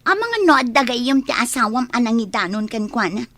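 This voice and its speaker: A troubled young village woman in a radio drama. Her voice sits fairly high and is recorded close and dry. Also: animated, a little fast, a bit bright, dry recording. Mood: indignant. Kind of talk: Ilocano radio drama acting.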